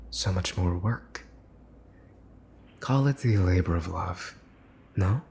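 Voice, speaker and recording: A man playing a smug mafia boss's son in an ASMR roleplay, teasing and condescending as he makes cool, possessive threats with pauses for effect. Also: on the low side, a little mellow, slight background noise. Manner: teasing, smooth-talking, condescending, pausing for effect. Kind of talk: ASMR boyfriend roleplay, scripted monologue to listener.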